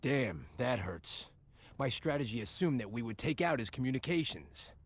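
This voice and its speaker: An actor playing a confident, decisive American colonel in a war video game, speaking bluntly. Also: male voice, medium pitch, very animated, normal speed. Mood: frustrated. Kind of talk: video game cutscene dialogue acting. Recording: music behind the dialogue.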